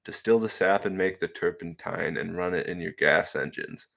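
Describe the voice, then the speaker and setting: A man's voice: low voice, moderate pace, vocal fry, a bit bright. A man in his early twenties chatting casually on a livestream, reading comments aloud and rambling with many fillers and frequent laughs. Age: 20s. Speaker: livestream host reading chat. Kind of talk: casual solo livestream chat.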